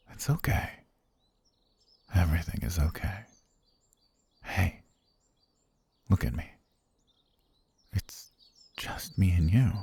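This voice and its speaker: A man voicing an obsessive, soft-spoken butler who has kidnapped his lady, talking in a hushed, half-whispered, gently menacing way for an ASMR roleplay. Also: sits low, creaky, slightly rough, no room sound, exaggerated voice. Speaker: voice actor.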